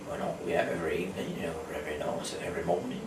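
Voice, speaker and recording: Casting: man from the UK, very low voice, halting amateur reader, recorded at a distance in a reverberant room. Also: little pitch movement. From United Kingdom. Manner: halting, stumbles over words. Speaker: host, amateur Bible reader. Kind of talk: casual rambling talk, then reading scripture aloud. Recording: echoey room, distant mic, clear background noise.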